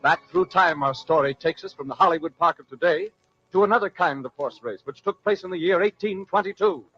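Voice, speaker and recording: Male American radio drama host, courtly scripted storyteller, higher-than-average voice, dull vintage recording. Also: a little fast, fairly bright tone, soft background music.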